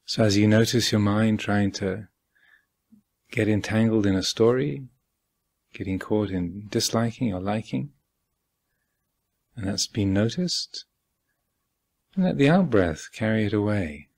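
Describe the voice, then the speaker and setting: A man with a husky voice guiding a meditation as a teacher, speaking calmly and deliberately with long pauses. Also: fairly low pitch. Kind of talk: guided meditation instruction. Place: meditation hall at a retreat.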